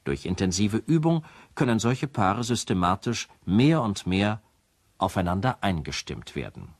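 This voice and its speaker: The sober male narrator of a crime radio play. His voice is fairly low and recorded dry and close. He reports in a formal, matter-of-fact documentary style. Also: big pitch swings, unhurried, no echo, full character voice. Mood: sober, factual.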